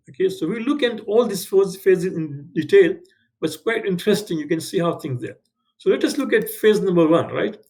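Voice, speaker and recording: A man's voice: high voice, darkish tone. A man from Tanzania, whose first language is not English, teaching an online class in a conversational way, often checking in with "right?" and correcting himself as he goes. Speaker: instructor. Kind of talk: online lecture. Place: home in Canada.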